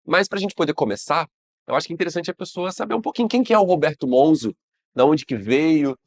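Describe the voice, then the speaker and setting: A man's voice: fairly high pitch, lively intonation, fast talker, dry recording. A man hosting an interview, asking open questions and adding brief friendly backchannels. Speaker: interviewer, host. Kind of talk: conversational interview.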